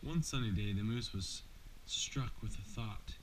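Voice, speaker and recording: A man's voice: fairly low pitch, unhurried. A man reading a bedtime storybook aloud to his child, as a father does. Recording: noisy amateur home recording.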